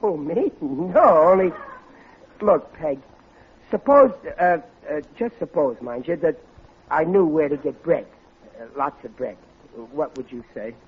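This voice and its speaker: Bumbling american dad on old 40s radio sitcom, high husky voice, sheepish, stammers, tinny phone-like sound. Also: male voice, animated, unhurried, heavily acted. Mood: sheepish, nervous. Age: middle-aged. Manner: stammering, blustering, ungrammatical. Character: bumbling, sheepish, guilt-ridden working-class American husband and father. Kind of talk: 1940s radio comedy acting.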